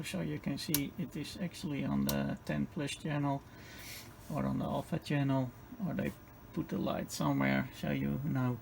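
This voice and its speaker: Male tutorial host, strongly gravelly creak, halting step-by-step technical delivery, noisy home-made sound. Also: on the high side, darkish tone. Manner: halting, piecemeal explaining with repeated phrases. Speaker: radio repair tutorial host. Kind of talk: unscripted hands-on technical demonstration.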